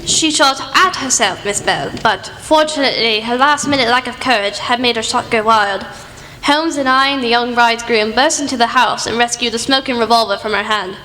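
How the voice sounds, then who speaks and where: A woman's voice: a little high, fairly quick, bright, forceful tone, a little hiss or hum. A woman playing a proper, wry female doctor, a detective's wordy sidekick in a radio play. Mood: wry, genteel. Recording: reverberant hall, faint music.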